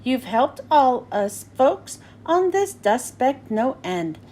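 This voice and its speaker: A woman's voice: high voice, big pitch swings, slow pace, dark tone, exaggerated voice. A woman voicing a tiny, polite storybook mayor, piping, courteous and grateful.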